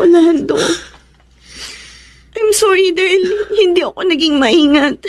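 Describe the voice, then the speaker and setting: An actress with a Filipino accent playing a tender, fragile young wife in a radio drama. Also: female voice, very high voice, a little mellow.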